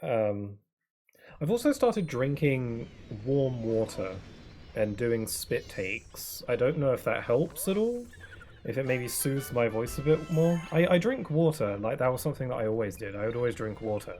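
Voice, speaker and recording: A young man chats casually over a live game stream, his speech loose and rambling, full of fillers and restarts. He sits at a desk mic in a home setup. Clear game music runs steadily behind his voice. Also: medium pitch, big pitch swings, moderate pace. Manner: rambling, soft-spoken, many fillers and restarts. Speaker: streamer playing a video game. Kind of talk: casual chat while gaming.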